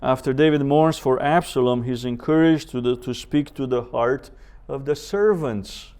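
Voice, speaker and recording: A man teaching a Bible seminar as a professor, speaking in a measured way with deliberate pauses, scripture quotations and rhetorical questions. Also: mid-range voice, darkish tone, clear, resonant tone.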